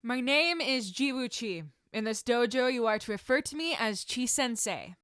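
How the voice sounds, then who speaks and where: A woman's voice: a little high, lively intonation, normal speed, a bit bright, clean tone, no room sound, studio quality. A woman voicing a strict, regal martial arts instructor, speaking in a formal, commanding way. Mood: stern, formal.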